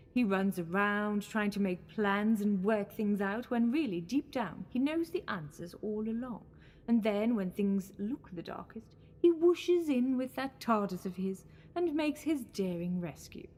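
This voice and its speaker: A woman's voice: medium pitch, expressive, a little mellow. A woman playing an imperious, scheming false goddess in an audio drama, commanding and condescending. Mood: silky scheming. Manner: commanding, slow condescending endearments.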